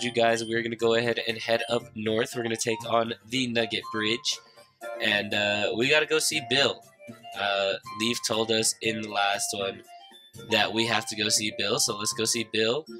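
A man's voice: medium pitch, poor audio. A young man with a slightly stuffed-up, nasal voice gives loose, profane, joking commentary to his viewers while playing a game. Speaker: gaming YouTuber. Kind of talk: casual Let's Play commentary. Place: small room lit by a side window. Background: game music underneath.

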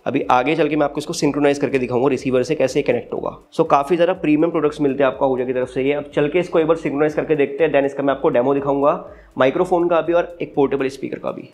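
Indian guy doing a casual unboxing, talks really quick, explains stuff step by step. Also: on the high side, steady tone, a little mellow. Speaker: product reviewer and channel host. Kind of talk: casual unboxing review narration.

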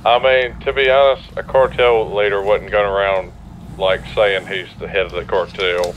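A man's voice: lowish voice, steady tone, moderate pace, rough recording. A man chatting casually as a player in an online roleplay game. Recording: faint car and street noise.

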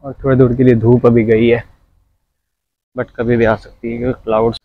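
Flat-voiced man giving walking tips. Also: medium pitch, normal speed, no echo, phone-quality sound. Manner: chatty, instructive, addresses viewers directly. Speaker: vlogger. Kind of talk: casual advice vlog. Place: outdoors, walking in the sun.

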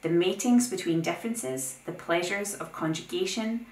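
A young woman hosting an art video, reading a scripted essay with opinionated, lively asides. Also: on the low side, normal speed, echoey room, rough recording. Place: plain indoor room.

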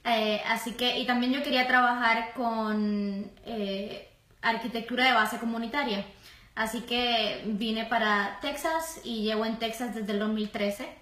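A young woman from Puerto Rico, an architect, answering interview questions calmly with many pauses and fillers. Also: on the high side. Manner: calm, measured, many hesitations. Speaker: architect, guest. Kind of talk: casual interview. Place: small office. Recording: roomy office echo.

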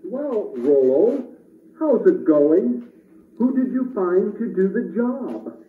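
A man's voice: high voice, very animated, takes it slowly, exaggerated voice. A man playing a jolly, kindly old Santa in a children's puppet show. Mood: jolly, curious. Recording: echoey, tinny, distant camera.

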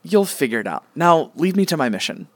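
A man's voice: a little high, very animated, brisk, dry recording. A man playing a self-important, petulant god with a pompous, dismissive manner in a comic radio play.

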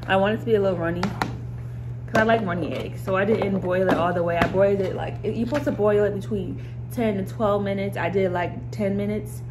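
A woman's voice: mid-range voice, fairly even intonation, a little fast. A young woman, chatting casually to camera in a vlog and calling her viewers y'all. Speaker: vlogger. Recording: noisy background.

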